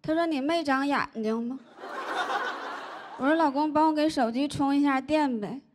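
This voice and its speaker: A woman, performing stand-up into a handheld mic on a big indoor stage. Her voice sits high, and she delivers stories about home life deadpan. The crowd's laughter rolls clearly under her punchlines. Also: normal speed. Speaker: stand-up comedian. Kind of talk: stand-up comedy set. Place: large indoor comedy stage. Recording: live audience laughter noise. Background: audience laughter.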